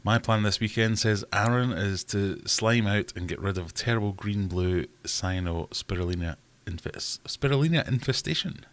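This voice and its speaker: A man's voice: lowish voice, moderate pace, few pauses, fairly bright tone. A man hosting a casual livestream from home, rambling and self-deprecating as he reads out chat comments. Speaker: livestream host.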